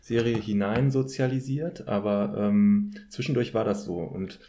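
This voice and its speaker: A man speaks as a guest in a relaxed podcast conversation. His voice sits fairly low and has a soft, mellow tone. He talks casually, pausing now and then to search for words.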